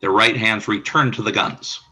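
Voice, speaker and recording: A man's voice: on the low side, steady tone, moderate pace, pushed and bright, slightly rough, poor audio. A man reading scripted scene narration for a radio play, describing the action in the present tense.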